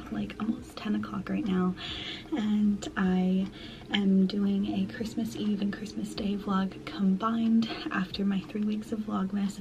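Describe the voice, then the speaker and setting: A woman's voice: mid-range voice, background noise. A woman in her twenties chatting casually to the camera in her bedroom, upbeat and full of "like" and "um". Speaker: vlogger. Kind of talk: casual vlog chat to camera. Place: small bedroom at home, indoors.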